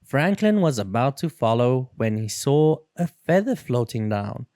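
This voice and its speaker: A storyteller reading a children's book aloud in an expressive, dramatic and deliberate way. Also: medium pitch, no room sound, studio quality. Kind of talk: expressive read-aloud narration.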